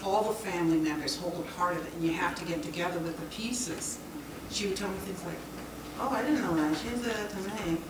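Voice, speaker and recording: A woman's voice: fairly low pitch, a little fast. Woman, native German speaker, distant roomy mic. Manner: conversational, laughing, searching for words. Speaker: panel speaker. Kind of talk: answering audience questions. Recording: roomy, distant, noisy hall recording.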